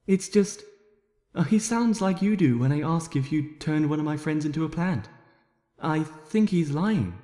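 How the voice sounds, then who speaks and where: A man voicing a shy, gentle young female pony with a hesitant, soft-spoken manner in an amateur story reading. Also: a little high, animated, a little slow. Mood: timid concern. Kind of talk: amateur fan fiction audiobook character voice. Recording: echoey room with long reverb.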